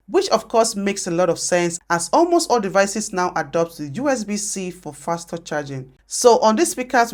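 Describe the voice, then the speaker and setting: A woman narrating a scripted product review as the presenter. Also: fairly low pitch.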